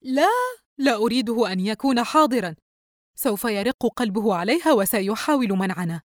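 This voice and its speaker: A woman's voice: fairly high pitch, expressive, a little fast, no room sound, clean studio sound, natural voice. A woman voicing a stern, cold, fed-up mother who gives curt commands in a dramatised audio story.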